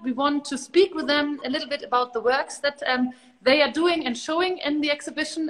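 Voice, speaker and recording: A woman's voice: high-pitched, bright, forceful tone, long reverb tail, low-quality recording. A woman in her thirties with a German accent, hosting a livestream interview as curator, friendly with many ums. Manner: friendly, filler-heavy introductions. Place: large open gallery space, indoors.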